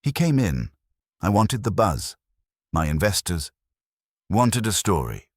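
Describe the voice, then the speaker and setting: A man's voice: fairly low pitch, big pitch swings, takes it slowly, no room sound, clean studio sound, pitched above the performer's own voice, heavily acted. A man voicing an uneasy, evasive businessman under questioning in a mystery story, with corporate phrasing.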